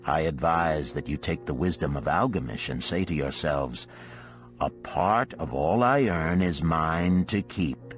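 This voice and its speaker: A man reading as an emphatic, animated wealthy sage. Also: extremely low pitch, a little slow, soft background music. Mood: instructive, stirring. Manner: rhetorical, formal, emphatic oratory. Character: wealthy wise older Babylonian man, emphatic, instructive, self-assured. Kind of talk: dramatised storybook parable reading.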